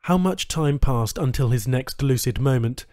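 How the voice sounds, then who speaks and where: A man's voice: medium pitch, moderate pace, dark tone, dry recording. A man narrating a scripted story as an audiobook reader, recorded close to the microphone.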